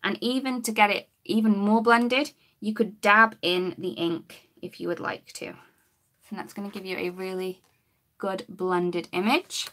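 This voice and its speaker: A woman, her voice a bit croaky, demonstrating coloring with markers in a chatty live-stream lesson. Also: mid-range voice, fairly even intonation, unhurried, a bit bright. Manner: chatty, talks while working, greets viewers. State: slightly croaky voice.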